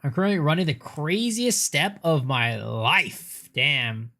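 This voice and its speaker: Lively man chatting on stream. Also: a little high, slow pace. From United States. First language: Cantonese. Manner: rambling, reads chat aloud, swears casually. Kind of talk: casual live-stream chat while gaming.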